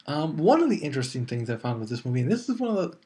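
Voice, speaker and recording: A man's voice: on the high side, sing-song, very fast, darkish tone. A man hosting a casual, unscripted review show, rambling informally and chattily as he talks straight to his viewers. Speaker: movie review show host.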